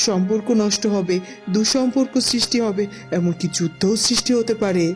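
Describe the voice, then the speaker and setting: Queen mother, woman actor: commanding, worried, mid-pitched, cartoon drama over soft music. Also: a little fast, natural voice. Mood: indignant, worried. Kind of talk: cartoon fairy-tale voice acting. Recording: music behind the voice.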